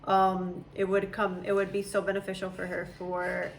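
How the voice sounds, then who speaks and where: A woman's voice: medium pitch, completely flat delivery, normal speed, creaky, a little hiss or hum, low-quality recording. A young woman, a mother, chatting casually and rambling as she explains her view. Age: young adult. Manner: chatty, rambling, laughs often. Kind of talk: casual chat.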